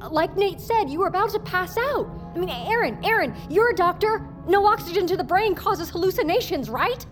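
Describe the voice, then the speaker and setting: An actress playing an outraged, defiant crew member in an audio drama, confrontational, sarcastic and emphatic. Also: female voice, very high voice, animated, brisk, faint music behind. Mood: incredulous, sarcastic.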